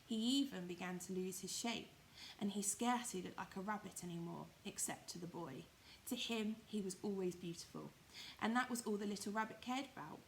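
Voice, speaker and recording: A woman's voice: mid-range voice, brisk, vocal fry, slight background noise, rough recording. A woman reading a children's story expressively, with emphatic, dramatised phrasing. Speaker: primary school storyteller.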